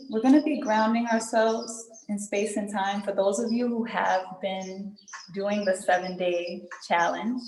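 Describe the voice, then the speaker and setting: A woman leading a guided group meditation in an unhurried, soothing way, pausing often between phrases. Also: mid-range voice, creaky, darkish tone, slight background noise. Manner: unhurried, soothing instruction with pauses and check-in tags. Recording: roomy echo with music behind.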